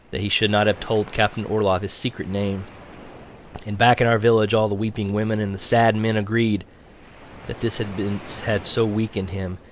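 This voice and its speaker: A man reading a novel aloud as an audiobook narrator, in a measured storytelling manner. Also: lowish voice, slight background noise. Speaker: audiobook reader.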